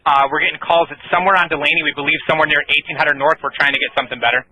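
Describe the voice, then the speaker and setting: A man's voice: a little high, steady tone, very fast, bright, forceful tone, low-quality recording. A man working as an emergency dispatcher, giving urgent dispatches over a two-way radio. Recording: emergency scanner radio audio.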